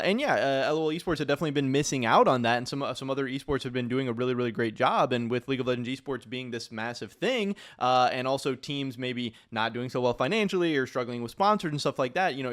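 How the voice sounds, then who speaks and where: A man co-hosting a casual esports podcast, chatty and loose, leaning on fillers like "you know" and "like". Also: mid-range voice. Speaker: podcast co-host.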